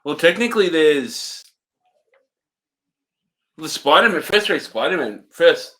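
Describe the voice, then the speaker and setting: A man co-hosting a relaxed podcast chat. He answers slowly and hesitantly and laughs easily along the way. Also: fairly high pitch. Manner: laid-back, hesitant, laughs often. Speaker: podcast co-host. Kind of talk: casual chat.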